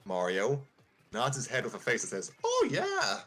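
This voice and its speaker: A man's voice: fairly low pitch, normal speed, a bit bright, husky. A man narrating a fan script casually, informally breaking off to make side comments. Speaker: narrator and reader.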